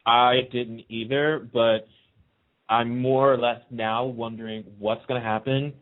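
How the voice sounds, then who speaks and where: A man's voice: medium pitch, level tone, a little slow. A man talking casually, as a guest on a radio talk show. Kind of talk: casual chat about a TV soap. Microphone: phone line. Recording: narrow phone-line sound.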